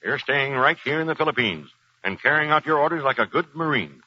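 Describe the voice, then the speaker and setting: Husky, kindly but firm Marine colonel. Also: male voice, fairly high pitch, expressive, brisk. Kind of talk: 1940s radio drama acting. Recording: dull 1940s radio recording.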